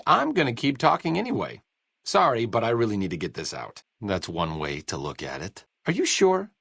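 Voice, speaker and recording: A man's voice: medium pitch, fairly bright tone, no echo, full character voice. A man playing a bookish, self-deprecating doctor and researcher in a video game, speaking in a dry, articulate, sardonic way. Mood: earnest, hesitant. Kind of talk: video game companion dialogue.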